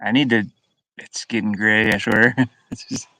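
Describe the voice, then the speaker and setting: A man's voice: fairly low pitch, steady tone, normal speed, pushed and bright. A middle-aged man chatting casually on a livestream, rambling and muttering to himself in short, broken phrases. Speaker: livestream host. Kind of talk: casual live chat with viewers. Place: inside a van. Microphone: webcam.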